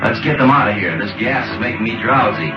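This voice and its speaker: A man's voice: on the low side, fast, lightly acted. A man playing a matter-of-fact futuristic crewman in an old film serial. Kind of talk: 1930s film serial acting. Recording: echoey muffled old film audio, music behind.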